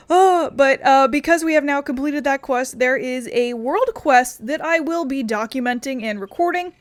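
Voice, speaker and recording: A woman's voice: high voice, measured pace. A woman hosting a casual game playthrough, playful, chatty and rambling. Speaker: gaming video host. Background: game music.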